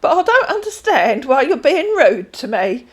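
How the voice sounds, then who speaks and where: A woman's voice: sits high, sing-song, normal speed, voice pushed up. A woman voicing a chatty 76-year-old retired dinner lady who calls everyone darling and takes everything literally. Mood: puzzled. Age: 70s. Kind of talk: read-aloud storytelling.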